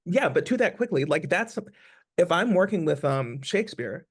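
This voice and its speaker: A fairly high-voiced American man answers questions as an actor in a conversational interview. He is reflective, and he restates his points with emphasis. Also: big pitch swings, normal speed, breathy edge.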